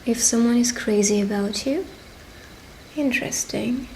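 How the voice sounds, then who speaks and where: A woman speaking directly to the listener as a tarot reader in a witch roleplay, pausing as she goes. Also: on the high side, darkish tone, poor audio. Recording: clear background ambient noise.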